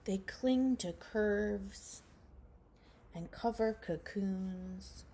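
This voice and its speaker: A woman's voice: mid-range voice, extremely slow, warm tone. A woman speaking to young children as a teacher in a read-aloud lesson, addressing them directly.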